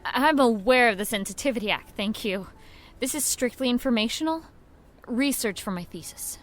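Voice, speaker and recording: An actress playing an earnest, stubborn, curious young woman in an audio drama, speaking with quiet determination. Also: on the high side, lively intonation, moderate pace, lightly acted. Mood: polite, cautious. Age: young adult.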